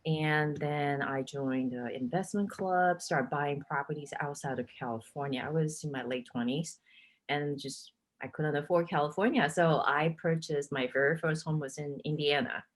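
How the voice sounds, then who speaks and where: Casting: low-pitched middle-aged woman from Taiwan, chatty guest, laughs easily. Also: fairly even intonation, moderate pace. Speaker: guest, real estate agent. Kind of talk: casual interview chat.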